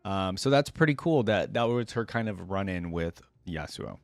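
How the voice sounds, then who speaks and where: A man's voice: low-pitched, animated, normal speed, vocal fry, dry recording. A man giving casual, enthusiastic reaction commentary as a video game fan on his channel.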